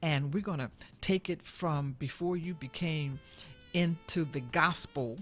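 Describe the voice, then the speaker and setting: A woman's voice: low-pitched, a little slow, a bit bright, faint music behind. Enthusiastic woman hosting a gospel talk show. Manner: enthusiastic, affirming, repeats phrases. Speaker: host.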